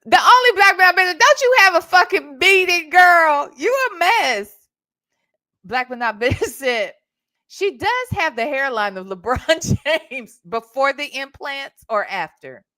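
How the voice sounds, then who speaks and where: Female American reaction host, high lively voice, blunt and sassy, talking casually to a live chat. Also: unhurried. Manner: emphatic, full of rhetorical questions.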